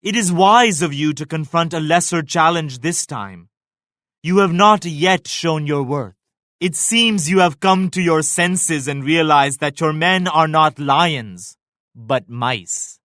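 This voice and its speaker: An actor playing a proud, pious Mughal emperor in a video game, making grave, measured and lofty pronouncements. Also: male voice, on the high side, sharp tone, dry recording, studio quality. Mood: condescending. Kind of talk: video game character voice lines, formal declamation.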